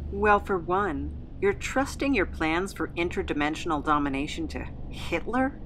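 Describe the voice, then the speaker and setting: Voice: mid-range voice, expressive, normal speed, a bit bright, a little hiss or hum, natural voice. A performer playing a resigned but argumentative captive with a dry, sarcastic manner in a comedic audio drama. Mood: sardonic. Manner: dry, sardonic.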